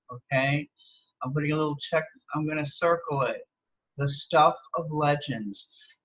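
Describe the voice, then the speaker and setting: A woman's voice: deep voice, a bit bright, dull audio. A woman with an American accent teaching through a read-aloud, adding explanatory asides and often checking in with "okay?".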